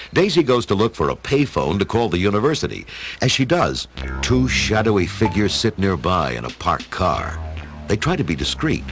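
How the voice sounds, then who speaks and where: Deep-voiced male storyteller over music. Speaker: narrator. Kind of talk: scripted dramatic audio story narration.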